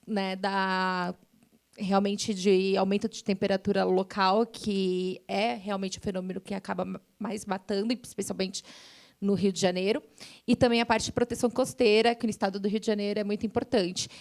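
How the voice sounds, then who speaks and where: A woman's voice: mid-range voice, level tone, moderate pace, a little mellow. A woman with a Brazilian accent explaining environmental science as a panelist at a forum, often adding small tag questions as she goes.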